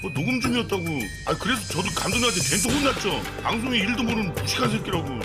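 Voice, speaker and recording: A woman's voice: mid-range voice, big pitch swings, racing, lightly acted. A woman acting an aggrieved, gossipy witness in an audio drama, complaining as she talks. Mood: indignant. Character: aggrieved, gossipy broadcast worker under police questioning. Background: background music.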